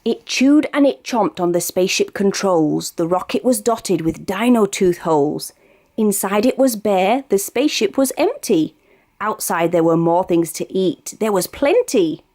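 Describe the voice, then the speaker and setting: Female British storyteller for a rhyming picture book; expressive, sing-song delivery with a wide pitch range. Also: measured pace. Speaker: storybook reader. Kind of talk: read-aloud of a rhyming children's picture book.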